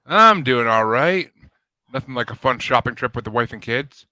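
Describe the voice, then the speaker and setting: Bright-voiced easygoing podcast host man. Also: medium pitch, lively intonation, normal speed, dry recording. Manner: easygoing, chatty. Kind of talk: live podcast hosting.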